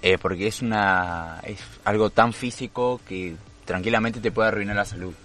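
Argentine man, low-pitched co-host, easygoing sports talk full of fillers. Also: normal speed, a bit bright. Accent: Argentine Spanish. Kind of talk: casual sports radio chat.

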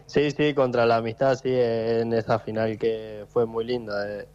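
A man in his twenties with an Argentine accent, a footballer answering radio interview questions haltingly, with many fillers and repeated words. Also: medium pitch, little pitch movement, a little mellow, clean tone, muffled recording. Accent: Argentine Spanish. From Tucumán, Argentina. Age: 20s. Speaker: footballer guest.

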